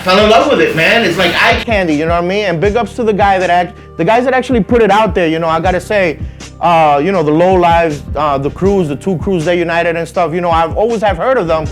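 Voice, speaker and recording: An easygoing man in his forties, from the Dominican Republic, chats as a guest on an interview show. His voice is slightly high, and he keeps filling pauses with casual asides. A faint beat runs underneath. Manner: easygoing, many "you know what I mean" fillers.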